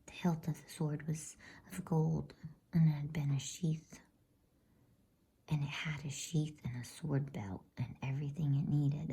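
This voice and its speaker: A woman whispers a bedtime story in a soft, breathy, gentle ASMR style. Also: low voice. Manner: gentle, hushed, soothing. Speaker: host and bedtime story reader.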